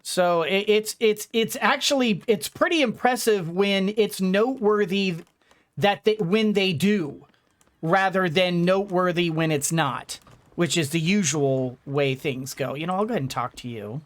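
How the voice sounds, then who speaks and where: Slow, rambling game commentator. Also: medium pitch. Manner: rambling, thinking aloud. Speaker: let's play streamer.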